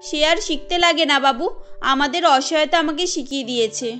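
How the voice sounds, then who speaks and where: Lady playing determined young cartoon mom, polite, high voice, light music underneath. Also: normal speed, sharp tone. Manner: polite, earnest. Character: earnest, determined young mother and burger seller in a cartoon.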